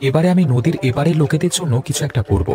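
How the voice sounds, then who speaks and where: A man's voice: a little high, very fast, natural voice. A man voicing a prosperous, kind-hearted merchant and elder brother in a cartoon, caring and earnest. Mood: compassionate, earnest. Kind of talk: Bengali fairy-tale cartoon dialogue.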